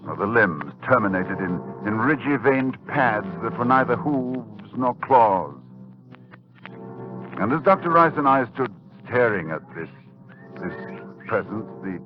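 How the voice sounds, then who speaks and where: A man playing a learned, shaken older professor in 1940s radio horror, speaking in a halting, grave, dramatic way. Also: lowish voice, animated. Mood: grave, appalled. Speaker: narrator and lead actor. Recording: muffled 1940s radio recording with music.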